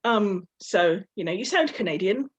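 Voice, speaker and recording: A woman interviewer with a breathy, husky voice asks her questions hesitantly. She stumbles over her words and fills the gaps with ums. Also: mid-range voice, animated. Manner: hesitant, halting questions with many fillers. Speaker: interviewer, event host. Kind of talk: hosting an online talk.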